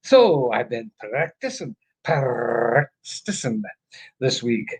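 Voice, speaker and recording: A man's voice: medium pitch, big pitch swings, slow pace, slightly rough. A man co-hosting a casual live-stream chat, telling jokes in a playful storyteller's manner.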